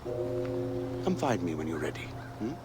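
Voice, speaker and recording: An actor playing an earnest male rebel leader in a video game cutscene, intense and brotherly, swinging between hushed and shouted lines. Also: low-pitched, big pitch swings, takes it slowly, loud music behind the voice, exaggerated voice. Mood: firm.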